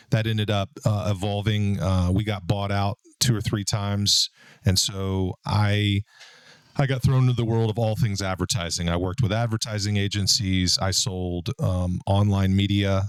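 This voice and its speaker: A man in his forties with a deep baritone voice, hosting a casual podcast and telling stories in a relaxed way. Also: moderate pace, clean tone, no room sound. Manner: relaxed, storytelling, frequent fillers. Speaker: podcast host and business owner. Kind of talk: casual conversational podcast talk.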